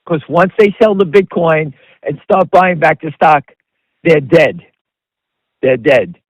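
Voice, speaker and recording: Emphatic, higher-pitched man giving market commentary. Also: takes it slowly, no echo, poor audio. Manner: emphatic, blunt, repetitive; conversational, talks directly to listeners. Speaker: host of a stock market commentary call. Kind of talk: off-the-cuff stock trading commentary.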